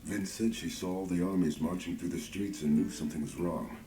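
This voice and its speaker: An actor playing a loyal, heartfelt soldier companion in a video game drama, speaking solemnly and earnestly. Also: male voice, deep voice, fairly even intonation, brisk, mellow, roomy sound, lightly acted. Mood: gentle, reflective.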